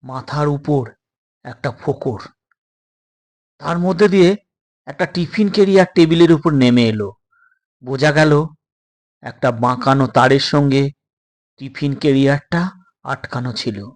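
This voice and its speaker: A storyteller narrating a suspense tale in a dramatic, deliberate manner. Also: mid-range voice, a little mellow.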